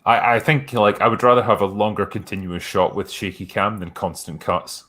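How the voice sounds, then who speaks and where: Bright-toned male podcast host. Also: fairly low pitch, normal speed, a little hiss or hum. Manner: relaxed, reads viewer questions aloud. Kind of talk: casual podcast chat.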